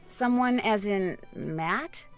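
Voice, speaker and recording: A woman's voice: mid-range voice, expressive, slow, soft background music. An actress playing a polite, earnest young woman detective in a video game, speaking in a questioning way. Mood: curious. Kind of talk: video game character dialogue.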